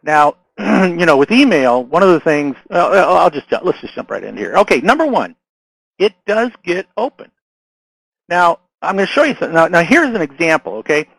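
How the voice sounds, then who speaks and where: A man's voice: fairly high pitch, very animated, moderate pace, vocal fry, fairly bright tone, no echo, low-quality recording. A man who is losing his voice gives a casual marketing lesson, talking loosely with restarts and checking in with "right?" and "okay?". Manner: conversational, many restarts and fillers, frequent "right?" and "okay?". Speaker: marketing consultant. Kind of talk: casual instructional talk to camera.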